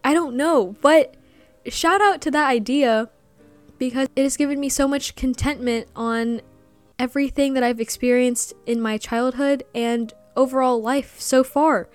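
A woman's voice: high-pitched, fairly even intonation, a little slow, faint music behind. A young woman hosting a personal podcast, speaking earnestly and reflectively about growing up, with Vietnamese as her first language. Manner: earnest, soft-spoken, breaks into giggles at the end. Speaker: podcast host.

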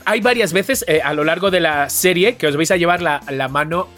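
High-pitched effusive Spanish man over music. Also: moderate pace, a bit bright. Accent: Spanish from Spain. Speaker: podcast co-host. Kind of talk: casual chat.

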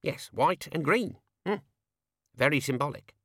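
A nervous old wizard scholar, as a male narrator voices him, stammers and quavers through his words. His voice is lifted above the narrator's usual pitch. Recorded dry and close. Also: lively intonation, unhurried, fairly bright tone, slightly rough, no room sound, full character voice. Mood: flustered.

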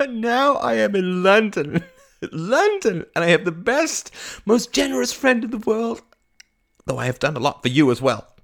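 A man's voice: medium pitch, fairly even intonation, quick pace, creaky, pitched above the performer's own voice, natural voice. A man voicing a giddy, overwrought young woman in an audiobook, theatrical, breathless and stammering, with a proud, tearful edge and brittle laughter. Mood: tearful, laughing. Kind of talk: audiobook character acting.

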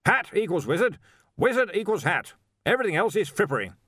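A man voicing a pompous, blustering old wizard who heads a university, with a booming, imperious manner, in a storybook reading. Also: big pitch swings, brisk, a bit bright, slightly rough, dry recording, clean studio sound, heavily acted. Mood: indignant, imperious.

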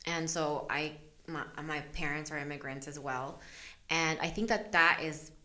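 A woman's voice: low voice, fairly even intonation, unhurried, sharp tone. A woman from El Salvador, a business owner, talking candidly in an interview. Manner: candid, chatty, laughs often. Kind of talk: casual interview.

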